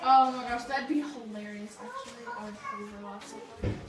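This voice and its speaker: An excitable speaker chatting casually in a cave. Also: mid-range voice, fairly quick. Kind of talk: casual chat. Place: inside a dark cave on a walking tour. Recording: echoey, distant, very noisy cave recording.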